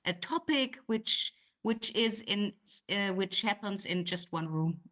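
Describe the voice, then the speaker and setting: A woman's voice: mid-range voice, lively intonation, a little slow, fairly bright tone. German woman on a panel, stops and restarts a lot, searching for english words. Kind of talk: panel discussion.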